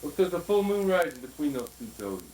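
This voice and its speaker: An actor playing an earnest man in his forties, coaxing and animated as he talks. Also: fairly high pitch, fairly quick, a little hiss or hum, rough recording. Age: 40s.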